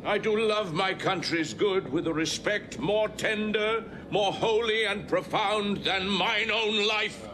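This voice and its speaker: An actor playing a dignified, earnest Roman former consul, speaking formally in classical Shakespearean drama. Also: male voice, high-pitched, takes it slowly, few pauses. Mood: earnest pleading. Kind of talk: classical Shakespearean stage acting. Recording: crowd noise behind.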